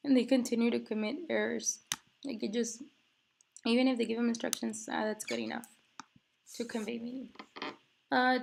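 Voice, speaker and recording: A woman's voice: fairly high pitch, a bit bright, slight background noise. A woman presenting a textbook chapter, reading from slides and explaining them casually with many fillers, while recovering from an illness and coughing now and then. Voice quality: gravelly fry. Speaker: student presenter.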